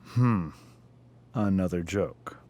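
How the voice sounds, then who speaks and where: An actor playing a pompous middle-aged American district attorney and father, speaking in measured, formal sentences in a video game drama. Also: deep voice, mellow. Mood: probing, guarded.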